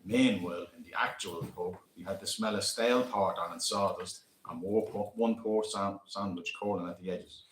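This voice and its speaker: A man's voice: low-pitched, steady tone, moderate pace, few pauses, slight background noise. A man narrating with a dry, wry storytelling manner at a live reading. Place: community centre hall, live event. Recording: roomy hall echo.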